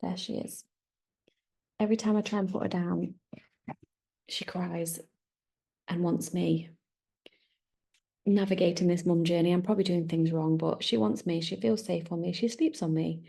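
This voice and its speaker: Podcast host, woman, 30s, mellow and slightly gravelly, rambling solo chat. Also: fairly low pitch, steady tone, moderate pace, long pauses. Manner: chatty, rambling, self-correcting.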